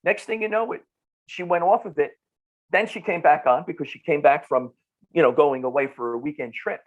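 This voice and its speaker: A middle-aged man with a New Jersey accent talks in a conversational, explanatory way. His voice sits a little high, and he drives points home with repeats and rhetorical questions. The recording is somewhat dull and lo-fi. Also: fairly quick. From northern New Jersey, United States. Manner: emphatic, repeats phrases and asks rhetorical questions. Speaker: nutrition clinician, podcast guest.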